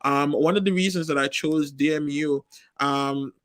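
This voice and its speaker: A man from Saint Kitts and Nevis, a PhD student, chatting in a lively, expressive way and laughing easily. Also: on the high side, moderate pace, dry recording. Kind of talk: casual panel chat.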